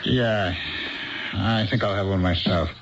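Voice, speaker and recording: An actor playing a plain-spoken, patient and gently skeptical bartender in a 1970s radio drama, with a dry, folksy manner. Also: male voice, on the low side, a little slow, slight background noise. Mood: patient, probing. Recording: dull lo-fi 1970s radio broadcast.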